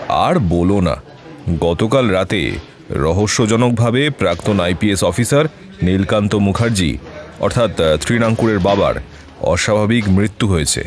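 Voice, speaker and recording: A man's voice: on the low side, lightly acted. A man acting a troubled middle-aged police officer working a baffling case in an audio detective story. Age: 40s.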